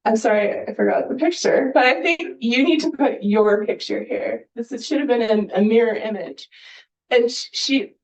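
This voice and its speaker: A woman's voice: fairly high pitch, a little mellow, low-quality recording. A woman from Hawaii giving an informal presentation as host at a public event. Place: indoor event hall, speaking at a podium.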